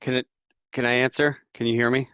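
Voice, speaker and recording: A man on an online call answering questions as an expert panelist, explaining carefully and a little haltingly without a script. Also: mid-range voice, level tone, a bit bright, smooth, dry recording. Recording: slightly dull online call audio.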